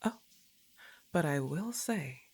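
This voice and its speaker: Slow, laid-back, teasing goth roommate, acted by a woman. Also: low-pitched, expressive, a little mellow, breathy edge, full character voice. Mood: laid-back, reassuring. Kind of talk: intimate ASMR roleplay acting.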